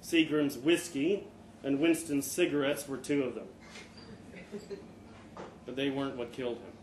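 A man reading a short story aloud at a live event, in an expressive, dramatic storytelling style. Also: mid-range voice, unhurried. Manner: expressive, acts out dialogue. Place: live literary event in an indoor venue. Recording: echoey room, live event, background noise.

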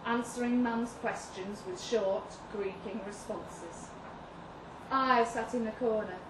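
A woman reading a literary short story aloud at a live event, in a measured, deliberate first-person narration. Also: a little high. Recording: roomy, distant mic with audible noise.

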